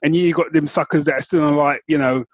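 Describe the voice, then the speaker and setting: A man co-hosting a podcast, chatting casually in an animated, slangy way. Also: a little high, fast, no echo. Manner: animated, informal, slangy, swears freely. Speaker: podcast co-host. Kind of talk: casual chat.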